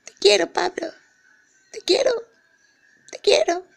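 A woman voicing a mischievous male koala plush toy, putting on a Spanish-from-Spain accent, squeaky and playful. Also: takes it slowly, creaky, exaggerated voice. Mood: cheering on. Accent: put-on Spain Spanish. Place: hotel room. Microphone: phone camera at arm's length.

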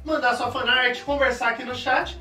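A Brazilian woman co-hosts a live stream in a casual, chatty way. Her voice is fairly high and sounds away from the mic in an echoey room. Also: fairly even intonation, moderate pace, bright, forceful tone. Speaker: off-camera co-host running the stream. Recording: echoey room, distant voice, music behind.

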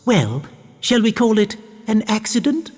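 A man's voice: high voice, animated, measured pace, in a large hall, pitched above the performer's own voice. A man voicing an imperious, plaintive, scheming baroness in a storybook tale. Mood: scheming, commanding. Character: scheming, melodramatic baroness desperate for an heir. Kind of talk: storybook character voice.

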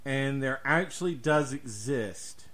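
A man's voice: medium pitch, animated, takes it slowly, a bit bright. A man hosting a casual podcast conversation, speaking emphatically and repeating himself to drive points home. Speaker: podcast host.

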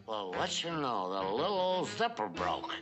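A man's voice: mid-range voice, sing-song, normal speed, natural voice. A man playing a friendly, befuddled drunk middle-aged husband in a classic cartoon, rambling and slurred. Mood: merry, muddled. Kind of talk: classic comic cartoon voice acting. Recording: music under old cartoon soundtrack.

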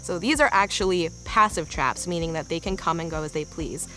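Young woman, slightly low pitch, explanatory nature documentary interview, soft music bed underneath. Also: brisk. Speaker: field researcher.

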